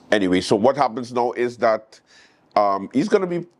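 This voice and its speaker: A man with a Caribbean accent hosting a late-night show, giving casual news commentary and acting out quotes in a storytelling way. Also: mid-range voice.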